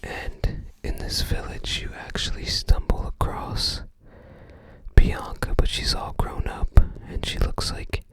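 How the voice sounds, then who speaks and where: Whispered ASMR, unvoiced, slow pace, casual guide commentary, musing and tentative. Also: a bit bright. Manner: unhurried, musing, tentative recollection. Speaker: ASMR creator.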